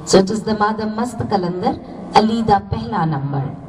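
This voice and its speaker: A woman from India hosts a school event, talking with hesitations, fillers and the odd restart. Her voice reaches the mic from a distance and rings with long hall reverb. Steady background noise sits under her words. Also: mid-range voice, brisk.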